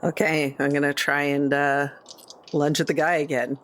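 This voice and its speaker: A woman's voice: sits low, little pitch movement, moderate pace, a bit bright. A woman playing a tabletop role-playing game, talking casually about her moves and rolls. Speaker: player. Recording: faint room noise and ambience.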